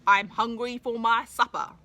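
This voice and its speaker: A greedy hairy troll, put on by a woman reading a storybook, drags out every word and growls them loud. The voice sits fairly high for a monster. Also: steady tone, measured pace. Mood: menacing.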